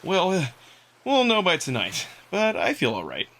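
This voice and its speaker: A man's voice: sits high, wildly swooping pitch, moderate pace, pushed and bright, pitched above the performer's own voice, heavily acted. A man playing a wry, easygoing young human in a visual novel, talking casually and with some self-deprecation. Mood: tired. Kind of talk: visual novel character acting.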